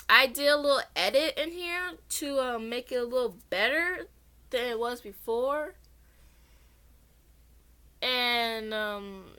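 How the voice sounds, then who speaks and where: Bright, highish-voiced young woman reading casually. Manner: rambling, self-deprecating, informal asides. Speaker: amateur story narrator. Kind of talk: casual chatty read-along of own story.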